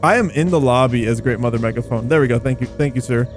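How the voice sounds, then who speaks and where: A man giving casual gameplay commentary in an excitable, slangy, rambling way, often repeating phrases as he thinks aloud. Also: medium pitch, fast talker. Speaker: video game YouTuber and host. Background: background music.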